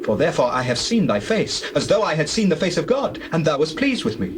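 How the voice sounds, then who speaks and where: An earnest, deferential biblical patriarch as a man acts him out pleads in formal, archaic scripture style. His pitch is a little high and moves widely, over a noisy bed of birds and doves. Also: big pitch swings, fast talker. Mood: humble pleading. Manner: formal, entreating. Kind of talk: dramatized scripture reading.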